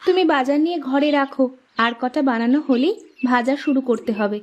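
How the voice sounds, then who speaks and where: A woman's voice: high voice, brisk, darkish tone, dull audio, natural voice. A woman playing a caring, hopeful cartoon housewife, homely and coaxing with her husband. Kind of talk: cartoon story dialogue.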